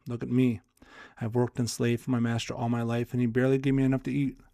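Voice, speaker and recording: A bitter old donkey from a storybook, read by a man. He complains of being worn out, at an average male pitch in a dry recording. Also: flat delivery, fast talker, creaky, dark tone, dry recording, close to an everyday voice. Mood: aggrieved, resigned.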